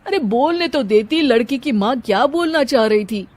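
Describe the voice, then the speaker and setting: A woman's voice: high voice, animated, normal speed, darkish tone, no echo. A woman voicing a blunt, reproachful middle-aged relative in a moral-story cartoon, speaking frankly. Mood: reproving.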